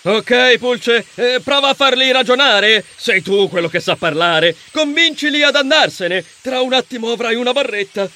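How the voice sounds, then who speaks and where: Man playing a high, bright-voiced bumbling muscular hero. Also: moderate pace, hardly any pauses. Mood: casual, coaxing. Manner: casual, exclamatory, teasing. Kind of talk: audiobook character acting.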